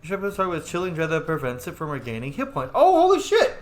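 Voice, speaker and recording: A man's voice: a little high, wildly swooping pitch, fast, reverberant, faint music behind. A man giving casual, playful, sweary commentary as he plays a video game. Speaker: gaming video host.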